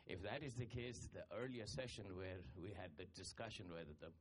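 A man from India, speaking up from the audience at a panel to ask a question, halting with many fillers and restarts. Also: lowish voice. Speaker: audience member asking a question. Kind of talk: spontaneous question from the floor at a panel. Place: conference hall audience.

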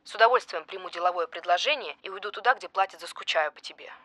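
A woman's voice: on the low side, fast talker, bright, forceful tone, no echo. An actress playing a pushy, desperate female journalist in an audio drama, politely insistent in her questioning. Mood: frank, pleading. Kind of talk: naturalistic audio drama acting.

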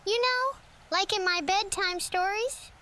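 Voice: very high voice, unhurried, bright, forceful tone, full character voice. A performer playing an earnest, inquisitive young boy in an audio drama.

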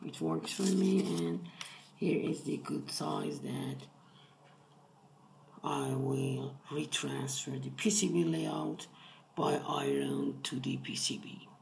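A man with an Iranian accent giving a casual hands-on tutorial in short, halting phrases. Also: fairly high pitch, creaky, darkish tone. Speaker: electronics hobbyist presenter. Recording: roomy echo with faint noise.

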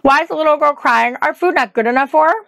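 A woman's voice: high voice, fast talker, creaky, no room sound, studio quality, pitched above the performer's own voice. A woman acting a blunt, oblivious old nursemaid. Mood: tactless. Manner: brightly nonchalant, tactless. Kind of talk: audiobook character acting.

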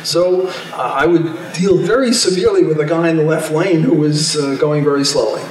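A man's voice: a little high, moderate pace, distant mic. An older man, an economist, giving an informal, chatty convention talk on a handheld mic, with wry, self-amused asides. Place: large hotel ballroom stage. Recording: echoey ballroom from the camera.

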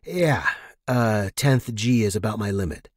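A man's voice: on the low side, animated, unhurried, dry recording, studio quality. A man voicing a nervous, fragile male space hermit in audiobook dialogue, hesitant and defensive. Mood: awkward.

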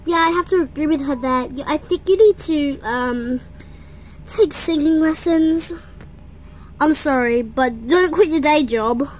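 Blunt talent show judges, voiced by a woman, give frank verdicts in a high, slow, plain-spoken voice, a touch lower than her usual talk. Faint background hiss from a home camera mic. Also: a little mellow. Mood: scathing. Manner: blunt, dismissive.

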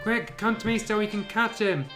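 Man doing a high, urgent young heroine. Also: moderate pace, over music, natural voice. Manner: insistent, urgent.

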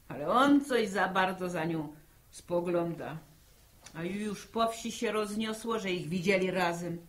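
A woman's voice: fairly low pitch, takes it slowly. A woman playing a worried, bossy middle-aged farm mother in a radio serial, with a fussing, chiding manner. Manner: fussing, chiding, repeats words. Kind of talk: Polish radio serial acting, 1960.

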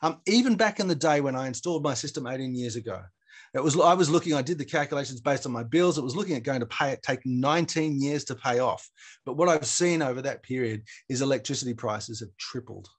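A middle-aged man presents a webinar at a brisk clip. His voice sits at a slightly raised pitch, and he explains in a plain-spoken, conversational way. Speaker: presenter from an energy foundation.

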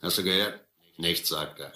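Gruff, hard-drinking boat boss for radio drama, deep, piercingly forceful, accusing. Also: male voice, moderate pace, reverberant. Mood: drunken accusing. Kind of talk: German radio play acting.

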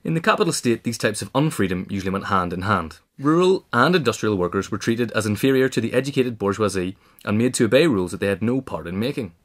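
A man's voice: lowish voice, lively intonation, fast, hardly any pauses. A man reading a scripted history essay aloud in a formal manner. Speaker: audiobook reader.

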